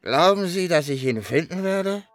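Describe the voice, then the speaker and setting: An actor playing a drunken, sleepy, confused man who explains himself stubbornly in halting phrases, in a literary radio play. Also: high voice, expressive. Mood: befuddled. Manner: halting, speaks in separated phrases.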